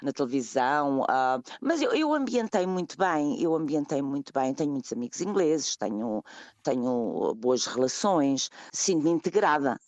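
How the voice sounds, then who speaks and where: A middle-aged woman from Portugal speaks with a European Portuguese accent. She answers interview questions slowly and reflectively, repeating words and pausing as she thinks. The sound is dry and close, with no room echo. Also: low-pitched, very animated, darkish tone. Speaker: guest, emigrant.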